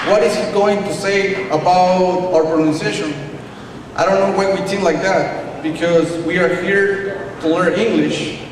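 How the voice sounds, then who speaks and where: A man, an adult English learner, giving an earnest speech in simple phrasing at an awards night. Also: high-pitched. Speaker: ESL student giving a speech. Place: large hall, speaking at a podium. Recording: echoey hall, distant mic, background noise.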